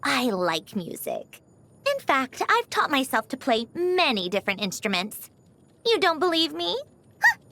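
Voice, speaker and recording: An actress playing a haughty, refined marionette villain in a video game, prim and theatrical, with dismissive huffs and a softer side. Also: female voice, sits high, sing-song, a little slow, noisy background, full character voice. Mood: playful, refined. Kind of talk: scripted video game character voice lines.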